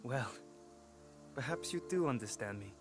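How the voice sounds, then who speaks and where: A man playing a wary, lost young adventurer in a video game, speaking in halting short phrases. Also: medium pitch, animated, natural voice. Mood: wary, curious. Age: young adult. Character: young male adventurer, wary, lost, talking to his troll. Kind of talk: video game cutscene acting. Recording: loud music behind.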